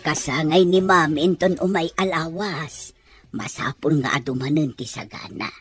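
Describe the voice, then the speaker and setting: A woman playing a cheerful, teasing older housemaid in an Ilocano audio drama, motherly and expressive. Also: sits low, unhurried, husky, faint music behind. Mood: curious.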